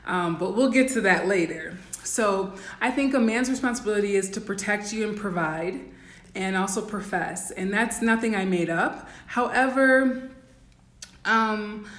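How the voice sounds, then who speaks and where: A woman with a raspy voice giving blunt, instructive coaching advice in a casual livestream talk, full of "um" and "like". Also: mid-range voice, fairly bright tone, reverberant. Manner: blunt, instructive, many "um" and "like" fillers. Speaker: dating and life coach.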